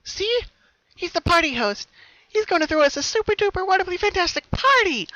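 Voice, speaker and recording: Hyper young female storybook pony, played by a man: shrill and high, bright, gushing, giddy, slightly dull recording. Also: brisk, no echo, heavily acted. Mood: giddy excitement. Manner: excited, bouncy, gushing delivery.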